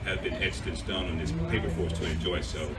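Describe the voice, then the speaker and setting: Low-voiced man reading poetry at an event. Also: steady tone, fast talker. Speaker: poetry reader, radio host. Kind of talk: spoken poetry reading with informal introductions. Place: live event with audience. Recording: distant mic, clear background noise.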